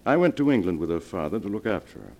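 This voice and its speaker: An actor playing a grave family doctor in 1940s radio melodrama, solemn, measured and authoritative. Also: male voice, low-pitched, big pitch swings, creaky, darkish tone, muffled recording, heavily acted. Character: grave, controlled family doctor breaking bad news. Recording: vintage 1940s radio broadcast.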